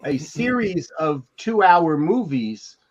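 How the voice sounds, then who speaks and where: An older man reminiscing on a podcast panel in a halting, digressive, emphatic way, speaking from home over a video call. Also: fairly high pitch, sing-song. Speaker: podcast host, former TV producer. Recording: dull, muffled video call audio.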